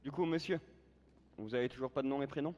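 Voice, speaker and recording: A man's voice: medium pitch, fast talker, darkish tone, lo-fi sound. A man playing a police commander in improvised online role-play, giving bossy, formal orders mixed with threats. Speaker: player acting as a police commander. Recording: gaming voice chat with background noise.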